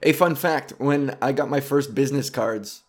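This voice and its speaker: A man's voice: medium pitch, normal speed. A man in his thirties hosting a comedic podcast, rambling between tangents with lots of swearing and self-deprecating jokes. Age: 30s. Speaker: podcast host. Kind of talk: casual comedic podcast monologue.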